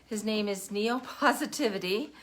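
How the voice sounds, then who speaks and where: An older woman hosting a casual livestream, chatty and meandering, her throat still rough from a lingering cough. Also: mid-range voice, moderate pace. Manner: chatty, warm-hearted, meandering with fillers. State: recovering cough, cough drop in mouth. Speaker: podcast host. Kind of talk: casual livestream talk to viewers.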